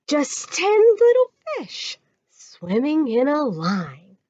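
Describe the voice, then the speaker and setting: High, slow, sing-song American woman. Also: huge pitch swings, darkish tone, dry recording. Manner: sing-song, encouraging, addresses children directly. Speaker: children's storytime presenter. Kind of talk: children's read-aloud and counting rhymes. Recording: dull lo-fi audio.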